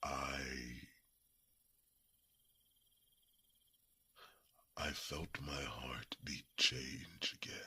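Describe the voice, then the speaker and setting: An ancient dragon, with an extremely low, breathy and gravelly voice. He speaks very slowly in tender, halting phrases, weary with old grief. It is a hushed fantasy ASMR roleplay with a sharp, intense edge to every whisper. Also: exaggerated voice. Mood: grieving. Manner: slow, deliberate, halting phrases with long pauses.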